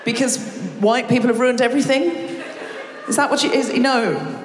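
A woman hosting a live comedy show on stage, teasing and chatting quickly with the audience. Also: on the high side, steady tone. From Australia. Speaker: comedy podcast host. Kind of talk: live stand-up crowd work. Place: large concert hall with live audience. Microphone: stage microphone. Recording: roomy hall with long reverb.

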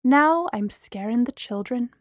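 Fiery young female best friend played by a woman narrator, audiobook, high and emphatic, dry sound. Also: lively intonation, slow, a little mellow, no echo. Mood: glum. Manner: theatrical, emphatic, indignant. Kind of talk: acted audiobook dialogue.

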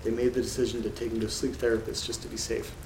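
Man acting as a subdued, even-toned horror storyteller. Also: medium pitch, rapid-fire, gravelly, lightly acted. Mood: somber, ominous. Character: uneasy man reading creepy letters aloud alone. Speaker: narrator of a horror audio drama. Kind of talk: horror audio drama storytelling. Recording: roomy sound with rain and noise. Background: rain and thunder ambience.

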